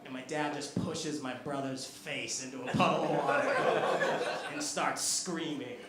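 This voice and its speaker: A man's voice: medium pitch, steady tone, a little slow, over a noisy crowd. An American man in his thirties doing a chatty stand-up set, teasing the audience on a comedy club stage. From United States. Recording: echoey club room with audience laughter.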